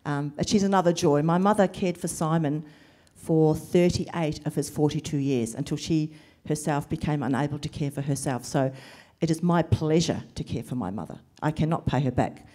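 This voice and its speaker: A middle-aged woman talks on a panel about caring for family, earnest and measured. Her voice sits low and mellow, with the weariness of someone short on sleep. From Lebanese family, raised in New Zealand and Australia. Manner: earnest, emotional, measured with pauses. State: sleep deprived. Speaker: panelist, carer and social worker. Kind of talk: panel discussion answers.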